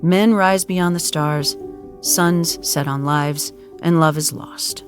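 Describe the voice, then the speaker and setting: A man playing a solemn administrator who narrates absurd events in a deadpan, grandiose storytelling style. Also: on the high side, takes it slowly. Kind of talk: scripted-sounding storytelling narration. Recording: prominent music behind the voice.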